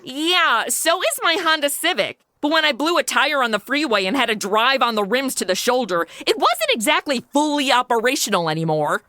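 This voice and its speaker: A woman's voice: high voice, big pitch swings, moderate pace, few pauses, sharp tone. A young woman making sarcastic, snarky asides as the lead in a comedy audio drama. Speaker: lead comic character.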